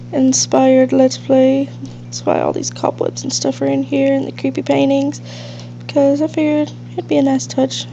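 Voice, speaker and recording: Woman, nasal stuffed-up voice, lots of creaky fry, mellow low-key tone, rambling gameplay commentary, slightly muffled lo-fi home recording with faint noise. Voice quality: nasal, congested, sniffly. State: stuffed up with allergies. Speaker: host of a gaming let's play. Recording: dull lo-fi mic with faint hiss and hum.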